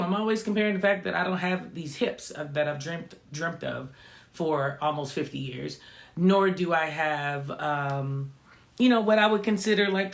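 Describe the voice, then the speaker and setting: A woman of about fifty with a low voice she calls not feminine, hosting a reflective vlog and talking conversationally, often tagging lines with "right?". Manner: conversational, often adding "right?" tag questions. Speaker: channel host. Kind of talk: reflective motivational vlog talk.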